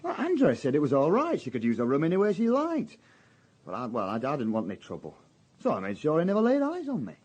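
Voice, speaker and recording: An actor playing a plain-spoken young army private in a radio drama, with a Northern English accent and a hesitant, colloquial way of talking. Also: male voice, fairly high pitch, huge pitch swings, fast, a little mellow, breathy edge, exaggerated voice. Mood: uneasy, defensive. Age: young adult. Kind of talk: naturalistic radio drama acting.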